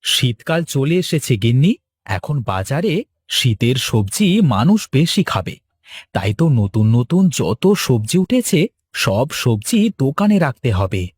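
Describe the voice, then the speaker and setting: পুরুষ কণ্ঠে প্রাণবন্ত, খসখসে গলার গ্রাম্য সবজি বিক্রেতা. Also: male voice, medium pitch, big pitch swings, slow pace, husky, dry recording, clean studio sound, full character voice. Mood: brisk, teasing. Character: chatty, eager Bengali village vegetable seller and husband. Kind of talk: cartoon story dialogue.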